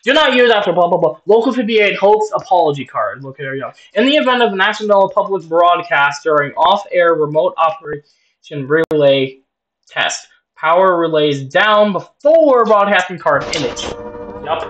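Male reaction host, fairly high voice, very lively pitch, informal and joking, reads text aloud. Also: moderate pace, muffled recording. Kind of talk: casual reaction commentary, reading on-screen text aloud.

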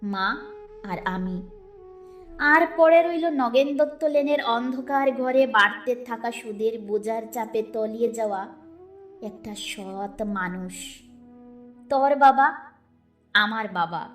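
A woman reciting a poem dramatically, with expressive, measured delivery and deliberate pauses. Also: on the high side, faint music behind. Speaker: poetry reciter.

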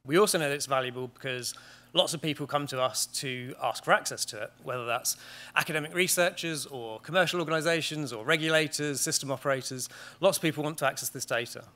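A British man in his thirties speaks from a conference stage in a large panelled hall. His voice is bright and clear, and he talks at a measured pace, often pausing on "um" and restarting his sentences. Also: on the high side. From Great Britain. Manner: measured, with frequent ums and restarts. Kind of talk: conference presentation. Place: large wood-panelled auditorium.

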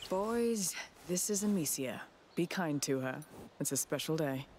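An actress playing a worldly, caring woman sea trader in a video game drama, with a dry, relaxed and teasing delivery. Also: low-pitched, unhurried, background noise. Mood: gentle.

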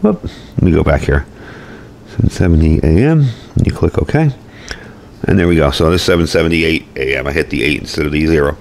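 A man's voice: very deep voice, lively intonation, a little fast, gravelly, pauses often, darkish tone, slight background noise. A man giving a casual, folksy product review and demonstration, explaining things informally as he goes. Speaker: host, gear reviewer. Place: indoors at a desk or workbench.

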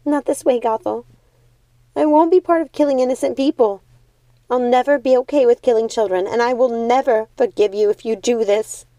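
Woman voicing indignant young witch, pitched high. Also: normal speed, warm tone, no room sound, close to an everyday voice. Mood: defiant. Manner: emphatic, accusing.

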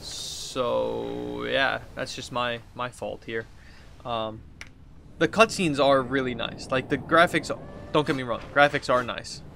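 A man's voice: mid-range voice, steady tone, takes it slowly, vocal fry, bright, forceful tone, a little hiss or hum. A man in his thirties giving casual, rambling let's play commentary, thinking aloud as he plays. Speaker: gaming video host. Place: indoors at a computer desk.

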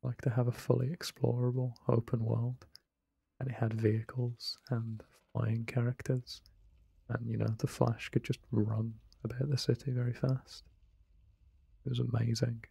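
Young man for a relaxed let's play, soft-spoken and self-deprecating, flat and mellow. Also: medium pitch, moderate pace, gravelly, breathy edge, dry recording. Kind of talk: casual late-night gameplay commentary. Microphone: close desk microphone, no webcam.

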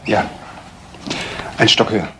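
An actor playing the bitter, shaken husband of a murdered woman in a radio crime drama, subdued and halting. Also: background noise, lightly acted.

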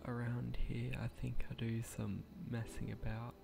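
A young man with a croaky voice, speaking quietly at night in a hesitant, rambling way with frequent restarts as he narrates a game build. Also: medium pitch, monotone, a little slow, over music. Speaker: gaming channel creator.

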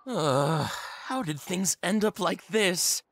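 A man's voice: high voice, lively intonation, slow pace, a bit bright, a little hiss or hum, exaggerated voice. An actor playing a fretful young male toymaker who sighs and hesitates a lot. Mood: worried. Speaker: toy store worker.

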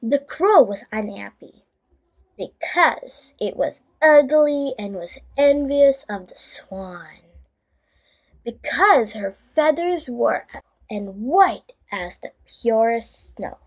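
A woman reading a children's bedtime story aloud in an expressive, deliberate way, as the host addressing young listeners. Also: fairly high pitch.

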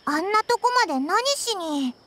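A woman playing a tiny, blunt, childish floating fairy companion in an anime game, with squeaky, boyish phrasing. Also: expressive, normal speed, fairly bright tone. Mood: puzzled. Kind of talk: Japanese anime game voice acting.

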